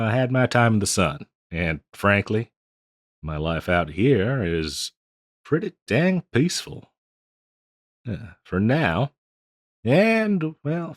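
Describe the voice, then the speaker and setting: Man acting as old frontier barkeep, low-pitched, dry close sound, folksy, rambling, gently teasing, audio roleplay. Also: no room sound, full character voice. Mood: wistful, content. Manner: folksy, rambling, chuckling drawl with hesitations. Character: folksy, teasing old Western bartender, ex-drifter singer. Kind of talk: intimate scripted roleplay audio, addressed to listener.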